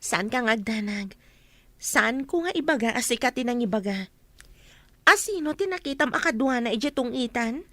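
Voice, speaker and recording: A woman's voice: fairly high pitch, lively intonation, normal speed, bright, forceful tone, no echo. A woman with an Ilocano accent playing a reproachful, exasperated working elder daughter in a comedy radio drama. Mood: probing.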